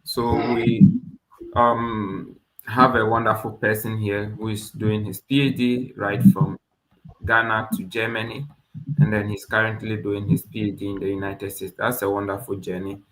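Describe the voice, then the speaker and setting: A man's voice: on the low side, flat delivery, normal speed, a bit bright. A man hosting an online webinar, restarting phrases as he puts questions to his guest. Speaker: webinar host, mentor. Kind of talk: informal interviewing and hosting. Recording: echoey room, noisy online call, clicks.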